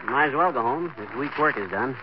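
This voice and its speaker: A man's voice: mid-range voice, expressive, brisk, over a noisy crowd, lightly acted. A man playing a bumbling, wisecracking husband in a 1940s radio comedy, with folksy slang and a blustery manner. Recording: noisy muffled 1940s radio recording.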